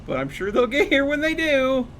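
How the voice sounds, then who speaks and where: A man's voice: extremely high pitch, sing-song, moderate pace, fairly bright tone, slight background noise. A man chatting casually while streaming a game, thinking aloud and explaining numbers as he plays. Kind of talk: casual chat while gaming.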